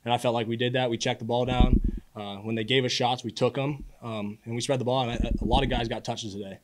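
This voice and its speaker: Fast-talking young male athlete. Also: mid-range voice, steady tone, background noise. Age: early 20s. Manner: casual, earnest, halting with repeated words. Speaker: college football quarterback. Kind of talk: postgame press conference answers. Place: indoor press room at a podium. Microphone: podium microphone.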